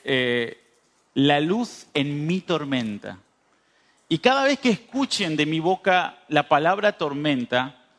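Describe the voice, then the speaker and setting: A man's voice: on the high side, fairly bright tone. A man of thirty-five with a northern Uruguayan border accent preaching informally, speaking straight to his listeners and repeating phrases for emphasis. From northern Uruguay, near the Brazilian border. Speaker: preacher at a youth church meeting. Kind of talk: conversational sermon.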